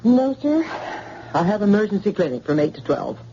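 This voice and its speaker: A tired young doctor with a dry, weary humor, played by an actor. The voice is mid-pitched and dark, with lively swings. The sound is a muffled old radio broadcast with faint hiss. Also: normal speed, close to an everyday voice. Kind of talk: 1940s radio acting.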